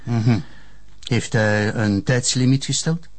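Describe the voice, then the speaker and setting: An actor with a Flemish accent playing a stern, suspicious public prosecutor in a TV police drama, curt and probing. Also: male voice, medium pitch, steady tone, takes it slowly, no room sound, close to an everyday voice. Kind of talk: naturalistic TV police drama acting.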